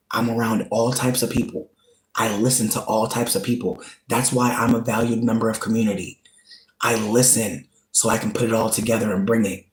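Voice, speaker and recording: A man's voice: mid-range voice, echoey room, rough recording. A man hosting his own channel, talking straight to viewers in an earnest, pleading way and often repeating himself. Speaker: channel host. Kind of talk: direct-to-camera commentary.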